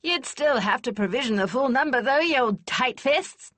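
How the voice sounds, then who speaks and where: A woman voicing a gruff, blunt older noblewoman in an audiobook, booming and teasing with emphatic force. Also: animated, moderate pace, a bit bright, no room sound. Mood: scornful. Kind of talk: audiobook character voice.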